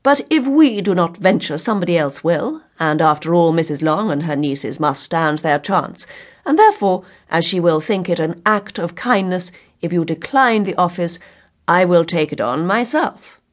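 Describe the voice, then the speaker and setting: A teasing middle-aged gentleman in an audiobook, voiced by a woman. He speaks in a measured, mock-solemn way with dry irony. The voice is husky, with lively movement in pitch. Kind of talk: audiobook character acting.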